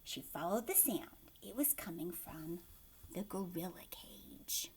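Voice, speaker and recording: A woman teacher reading a storybook aloud to children, expressive and addressing young listeners directly. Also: fairly low pitch, slow, in a whisper.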